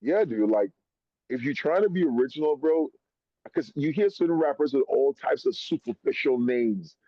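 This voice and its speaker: A man from Trinidad, a rapper guest, talking in an animated, slang-heavy way in a casual chat. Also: medium pitch, normal speed, no echo. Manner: animated, slang-heavy, calls host bro. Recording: dull, dry lo-fi sound.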